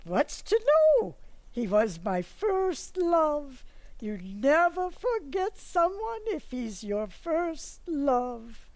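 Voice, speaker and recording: A woman playing a blunt, flighty older mother in an amateur radio play, with a dramatic, drawn-out delivery. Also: high-pitched, sing-song, darkish tone, no echo, heavily acted. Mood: girlish, smitten.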